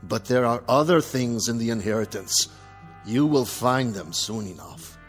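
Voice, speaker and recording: An actor playing a deposed old dictator in a radio drama, defiant and scornful, speaking rhetorically in a gravelly, husky voice. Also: male voice, mid-range voice, expressive, unhurried, close to an everyday voice. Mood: grim warning. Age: older. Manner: theatrical, rhetorical. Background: faint music.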